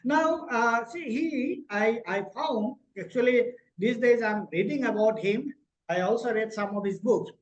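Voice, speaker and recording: A man's voice: extremely high pitch, measured pace, highs cut off, a little hiss or hum. A man interviewing a guest, hesitant, with many fillers and restarts. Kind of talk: interview questions and comments.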